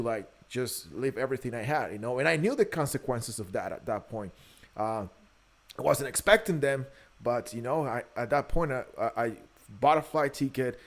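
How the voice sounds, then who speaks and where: A man in his early thirties with a Guatemalan Spanish accent speaks in a mid-pitched voice. He is a podcast guest sharing personal stories in a candid, conversational way. He often hesitates and repeats words as he searches for the next phrase. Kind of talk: conversational interview, personal storytelling.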